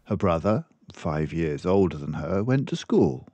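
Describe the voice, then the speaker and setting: An older man reading a children's book aloud in a deliberate, expressive storytelling manner. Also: low voice, dry recording. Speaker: storybook reader.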